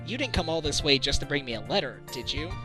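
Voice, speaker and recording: A man's voice: on the high side, lively intonation, fast talker, music in the background, close to an everyday voice. A man in a game fan dub playing a good-natured defense lawyer with a wry, casual manner. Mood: friendly.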